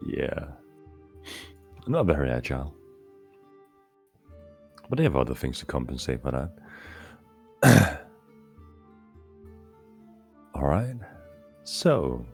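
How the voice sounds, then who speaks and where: A man's voice: extremely low pitch, very animated, takes it slowly, vocal fry, pauses often, a little mellow, slightly rough, soft background music. A man with a Danish accent running a tabletop game as game master, joking and self-deprecating between his narration.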